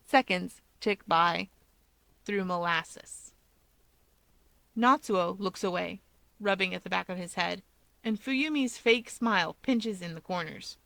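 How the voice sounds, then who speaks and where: A woman's voice: lowish voice, takes it slowly, vocal fry, long pauses, fairly bright tone, no echo. Woman narrating fiction, dry close sound. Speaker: story narrator. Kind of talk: expressive scripted fiction narration.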